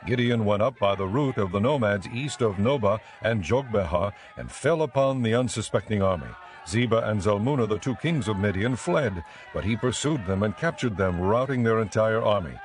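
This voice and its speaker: A man narrating scripture as a scripted audiobook in a measured, solemn manner. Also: on the low side, animated.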